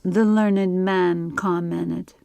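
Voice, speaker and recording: A woman narrating a scripted story for an audiobook in a measured, literary reading style. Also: fairly low pitch, fairly even intonation, creaky, warm tone, no echo.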